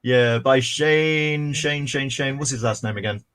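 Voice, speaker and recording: A man co-hosting a casual comics livestream, chatty and rambling with bursts of excitement. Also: medium pitch, a little slow, smooth. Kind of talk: casual chat.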